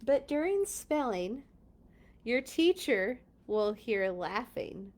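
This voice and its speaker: Woman, children's storybook reader, very lively intonation, slow pace, playful, expressive, casual asides. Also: fairly high pitch. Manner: playful, expressive, exclaims and comments while reading. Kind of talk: animated read-aloud with casual chatty asides.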